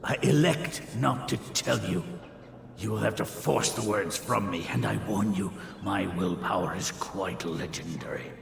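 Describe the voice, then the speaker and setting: Theatrical, creaky, pompous old genius. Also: male voice, lowish voice, a little slow, background noise. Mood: haughty, defiant. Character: proud, pompous older genius scientist and painter, held captive. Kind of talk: video game voice acting. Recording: echoey room.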